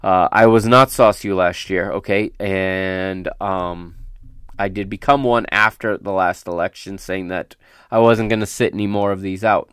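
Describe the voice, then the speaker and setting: A man hosting a solo sports podcast, speaking off the cuff in an emphatic, halting way, his voice slightly raspy as it recovers from illness. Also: sits low, steady tone, a bit bright, no room sound, clean studio sound. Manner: emphatic and halting, often checks in with "okay?". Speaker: podcast host. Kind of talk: unscripted solo sports commentary.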